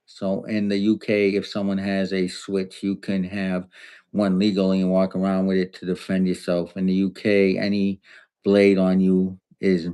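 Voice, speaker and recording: A man's voice: low voice, completely flat delivery, normal speed, warm tone, smooth. A man hosting a podcast, chatting loosely about politics with many restarts and fillers. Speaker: podcast host. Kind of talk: casual political chat.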